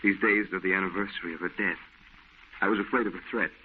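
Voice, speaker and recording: An actor playing a haunted, fearful, guilt-ridden painter in an old radio horror play. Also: male voice, low-pitched, fairly even intonation, fast talker, slight background noise, natural voice. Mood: brooding confession. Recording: dull, band-limited old radio recording.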